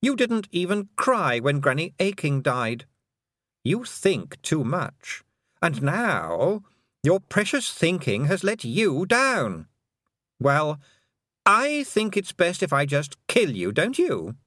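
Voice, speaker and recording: A man's voice: on the high side, wildly swooping pitch, slow pace, a bit bright, dry recording, studio quality, pitched above the performer's own voice, heavily acted. A man voicing a silky, mocking fairy queen. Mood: cruel. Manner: mocking, condescending, sweetly cruel. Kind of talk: audiobook character voice.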